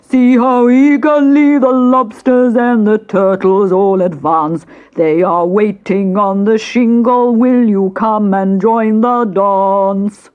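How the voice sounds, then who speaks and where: A woman's voice: medium pitch, takes it slowly, few pauses, phone-quality sound, pitched above the performer's own voice, close to an everyday voice. A woman voicing a sorrowful old male turtle creature who sighs and weeps in a hollow tone. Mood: singing. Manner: sighing, tearful, hollow.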